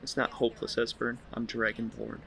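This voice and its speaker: A man's voice: medium pitch, monotone, a little fast, creaky, fairly bright tone. A man casually role-playing the player character in video game dialogue.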